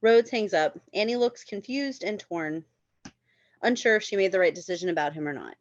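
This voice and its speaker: A woman's voice: medium pitch, expressive, moderate pace, dry recording, lo-fi sound. A woman reading screenplay stage directions as narrator at a table read.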